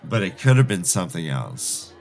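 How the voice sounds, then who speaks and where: Man, fairly low voice, podcast co-host, casual, hesitant, wry, faint background music. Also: very animated, moderate pace, creaky, darkish tone, some chatter behind. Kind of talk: casual discussion and banter.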